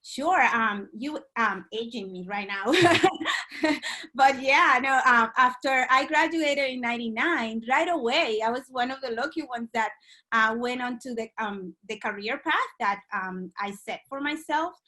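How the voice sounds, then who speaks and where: Dominican-born woman in her 40s, bright tone, lots of ums. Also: fairly high pitch, measured pace. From Dominican Republic. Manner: hesitant, many ums and uhs. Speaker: guest. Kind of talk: remote podcast interview.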